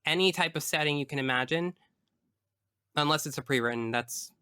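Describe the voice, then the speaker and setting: A man talks casually as an interview guest, with a bright, smooth voice. He is expressive and emphatic, full of enthusiasm. The sound is dry and close, without room echo. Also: on the high side, brisk. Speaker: tabletop game master. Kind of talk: casual interview chat about personal experience.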